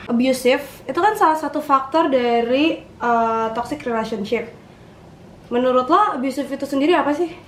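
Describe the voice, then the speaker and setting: A woman's voice: sits high, normal speed. A young woman, moderating a casual student discussion. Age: young adult. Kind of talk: casual interviewing. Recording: very roomy, faint noise.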